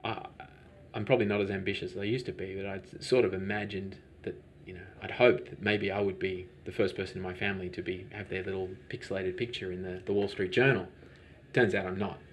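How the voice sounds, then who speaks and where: Hesitant low-voiced man telling a story. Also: level tone, fairly quick, a little hiss or hum. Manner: rambling, hesitant with many restarts and fillers. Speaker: lavender farm business owner. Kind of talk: conversational interview answers.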